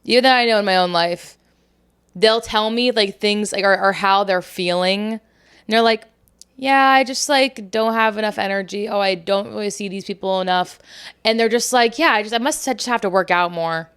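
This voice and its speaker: A woman's voice: medium pitch, normal speed, a bit bright, clear, resonant tone. A young woman as a podcast guest in casual chat, rambling with many fillers.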